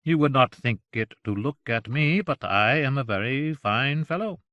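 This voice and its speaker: A man voicing a shabby, grave Yorkshire servant in an audiobook, with a gravelly, deliberate delivery and coolly amused menace. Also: medium pitch, animated, no echo, lo-fi sound, natural voice. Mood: wry, conciliatory.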